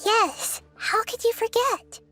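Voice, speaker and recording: A woman voicing a small, childlike but wise goddess in a video game, speaking gently, thoughtfully and articulately. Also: extremely high pitch, takes it slowly, over music. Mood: puzzled. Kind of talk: scripted video game dialogue.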